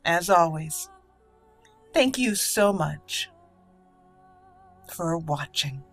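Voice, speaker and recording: A woman's voice: on the low side, lively intonation, drawn-out words, faint music behind. A woman giving casual video game commentary as a let's play host, musing and talking through her choices. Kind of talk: casual gameplay commentary, thinking aloud.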